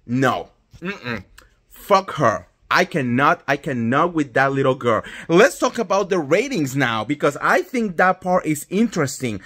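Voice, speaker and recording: A man's voice: fairly high pitch, sing-song, unhurried, fairly bright tone. Indignant Colombian man ranting into phone. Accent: Colombian Spanish. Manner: indignant, emphatic, swears freely. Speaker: pop culture vlogger. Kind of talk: ranting reality TV commentary. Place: indoors at home, small room. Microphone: phone held close at arm's length.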